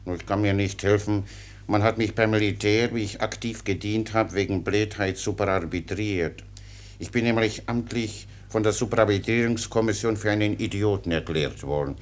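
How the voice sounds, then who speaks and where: Man acting a low-voiced, servile simpleton soldier. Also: moderate pace, natural voice. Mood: earnest, servile. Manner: servile, rambling, naively earnest. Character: cheerful, simple-minded male soldier playing the obedient idiot. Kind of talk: German radio play acting.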